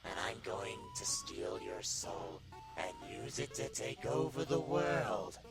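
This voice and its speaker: A man's voice: on the low side, animated, takes it slowly, gravelly, music in the background, full character voice. A man voicing a demonic, power-hungry flower in a comic dub, gloating with menace. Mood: menacing.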